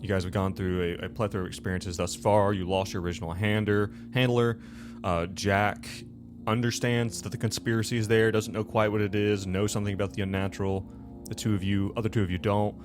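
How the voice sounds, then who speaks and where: Music plays behind a man with a very low voice. He hosts a tabletop game in a casual, rambling style, backtracking and correcting himself as he recaps. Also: little pitch movement, a little fast. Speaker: host and game master of a tabletop game show.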